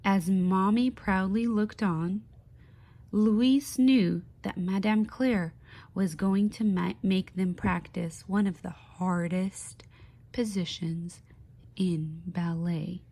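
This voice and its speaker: Woman, mid-pitched, very unhurried. Expressive children's read-aloud in a speech therapist's teaching style, with pauses and questions. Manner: expressive storytelling with questions to young listeners; slow, deliberate, with pauses.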